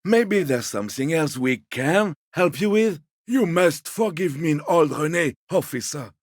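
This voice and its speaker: Husky, genteel old Frenchman. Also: on the high side, expressive, measured pace, dry recording, clean studio sound. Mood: soothing, apologetic. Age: older. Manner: polite, hesitant, placating, mildly pompous. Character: fussy, timid, genteel old gourmand gentleman. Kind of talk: video game character dialogue.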